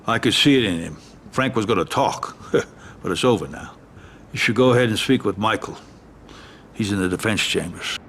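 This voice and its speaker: An actor playing a composed mob lawyer giving calm, businesslike instructions in a game cutscene. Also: male voice, lowish voice, moderate pace, slight background noise. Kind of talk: video game cutscene acting.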